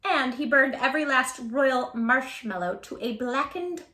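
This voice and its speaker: A woman hosting a children's read-aloud, speaking in an animated, emphatic way straight to small children. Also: high voice, unhurried. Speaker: children's storytime host and tutor. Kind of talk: children's read-aloud and chat to young viewers.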